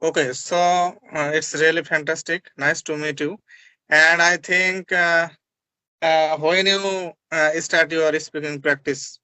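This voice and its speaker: A young Bangladeshi man talks as a guest in halting English, stopping often on fillers. His voice is bright and a little high. The sound is dry and close. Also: steady tone, smooth, dry recording. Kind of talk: casual conversation practice.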